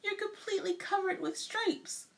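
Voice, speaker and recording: A woman's voice: very high-pitched, steady tone, normal speed, gravelly, pitched above the performer's own voice. A woman reading a children's storybook as a fussy, anxious, bossy mother who exclaims dramatically. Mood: shocked, worried. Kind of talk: children's storybook read-aloud.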